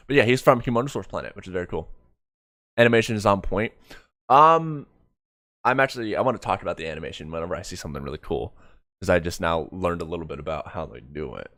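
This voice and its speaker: A man's voice: low voice, animated, fast, fairly bright tone, no room sound, studio quality. A man giving casual reaction commentary as a streamer, rambling and often correcting himself mid-thought. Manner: rambling, trailing self-corrections. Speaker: streamer reviewing an animated comic.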